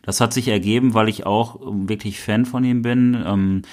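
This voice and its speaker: A man's voice: on the low side, steady tone, normal speed, darkish tone. A man co-hosting a podcast, talking casually and rambling with enthusiasm. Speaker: podcast co-host.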